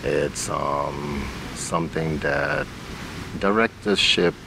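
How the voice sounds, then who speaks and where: A man's voice: very deep voice, slow pace. A man, an interview guest talking informally and telling stories in colloquial speech. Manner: informal, colloquial, acts out quoted dialogue. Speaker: interview guest, stage actor and director. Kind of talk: conversational storytelling. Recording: clearly noisy background.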